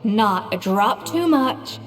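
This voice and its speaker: A woman's voice: mid-range voice, lively intonation, slow, big-room sound, soft background music. A woman voicing a confident, exacting young female chef in a video game, speaking precisely and with poise. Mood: strict, careful.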